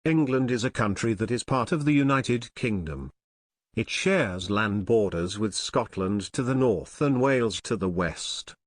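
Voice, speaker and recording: A man's voice: on the low side, lively intonation, a little slow, no echo, studio quality. A man reading a prepared factual text aloud as a sample answer. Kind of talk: scripted read-aloud narration.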